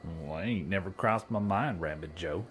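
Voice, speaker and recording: An actor playing a naive, earnest young cowboy outlaw weighed down by guilt, with a folksy rural American Western drawl, in a comedy western audio drama. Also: male voice, low voice, normal speed, a bit bright, a little hiss or hum. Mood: earnest, uneasy. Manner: folksy, ungrammatical bumpkin talk.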